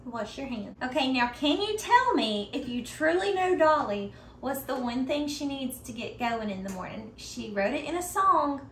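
A woman's voice: high-pitched, sing-song, moderate pace, hardly any pauses, slight background noise. A woman in her thirties chatting to viewers as she bakes desserts in her home kitchen, upbeat and talkative. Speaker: baking vlogger. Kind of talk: casual baking tutorial.

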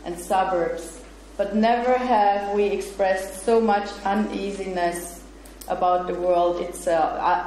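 A woman's voice: medium pitch, a little mellow. A middle-aged woman whose first language is German, giving an academic lecture in English and reading parts from her paper. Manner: laughs easily and chats with the audience between read passages. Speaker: guest lecturer. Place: bright lecture room with projector screen, indoors. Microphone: far camera, room mic. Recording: echoey room with faint noise.

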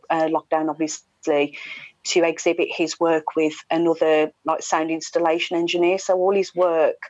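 A woman's voice: sits low, no room sound. A middle-aged British woman, a radio presenter, answering as an interview guest in a conversational style over a video call. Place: indoor room, video call. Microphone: wired earphones with inline mic. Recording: dull, slightly lo-fi video call audio.

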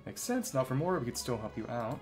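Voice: mid-range voice, big pitch swings, fairly quick. A game streamer chatting casually with viewers and reading game dialogue in clipped, musing fragments. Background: game music.

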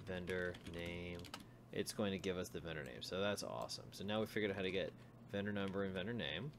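A man's voice: low voice, noisy background. A man giving an informal screencast coding tutorial, thinking aloud as he works through each step. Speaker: programming tutorial instructor.

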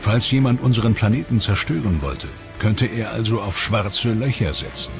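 A man with a low voice narrates a science documentary in a measured, ominous tone. He speaks as a scripted studio voice-over. Loud music runs beneath his lines. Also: creaky.

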